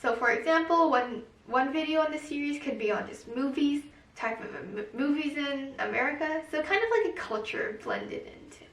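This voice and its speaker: Young woman, high voice, chatty and quick, says like a lot, echoey far off mic. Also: lively intonation, fairly bright tone. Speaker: co-host, daughter. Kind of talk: casual chat.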